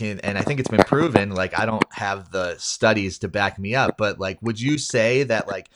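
A man's voice: lowish voice, fairly bright tone. A man hosting a podcast interview, asking long, hesitant questions full of fillers. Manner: hesitant, many fillers and restarts. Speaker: podcast host. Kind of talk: interview conversation.

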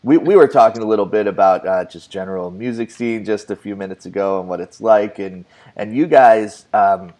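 Male podcast host, lowish voice, upbeat and chatty with playful asides. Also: a little mellow. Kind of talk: casual podcast chat and segment narration.

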